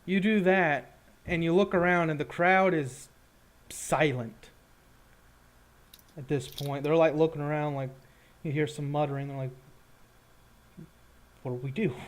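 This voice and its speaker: Higher-pitched man running a tabletop game. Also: fairly even intonation, unhurried, long pauses. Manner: casual, descriptive. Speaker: game master. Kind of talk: narrating a tabletop role-playing game.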